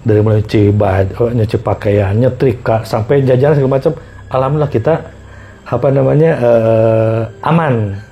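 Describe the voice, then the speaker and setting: A middle-aged man telling personal stories casually as a podcast guest, often pausing and repeating himself to find words. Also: mid-range voice, muffled recording. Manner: halting, repeats phrases as he searches for words. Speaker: podcast guest recounting past experiences.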